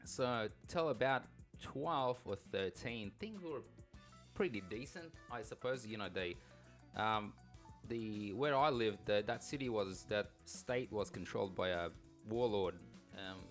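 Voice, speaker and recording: A man's voice: lowish voice, measured pace. Informal man from Afghanistan, music behind him. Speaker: podcast co-host. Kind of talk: casual personal storytelling.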